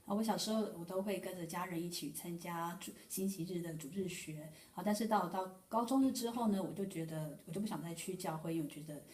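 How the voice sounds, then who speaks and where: Quick woman interpreting in a church. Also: lowish voice, a little mellow. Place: church hall. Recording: echoey room from far off.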